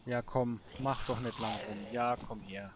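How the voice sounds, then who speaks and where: Slow-talking man, noisy recording. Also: medium pitch, steady tone. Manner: talks to himself and to game characters, colloquial. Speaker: gamer and host of a let's play series. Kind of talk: casual let's play commentary. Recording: noisy home recording with clicks.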